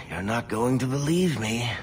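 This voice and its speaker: An actor playing a wry, streetwise male dwarf storyteller in a video game, glib and sardonic with a husky voice. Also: mid-range voice, slight background noise. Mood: wry, evasive. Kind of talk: video game character acting.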